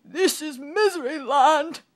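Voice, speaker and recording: A woman acts out a miserable storybook king who wails and blubbers every word. The delivery is loud, very slow and drawn out, full of tearful self-pity. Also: high-pitched, pitched above the performer's own voice. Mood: wailing, sobbing. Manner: sobbing, drawn-out blubbering wail. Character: weepy, wailing, self-pitying old-fashioned cartoon king, male.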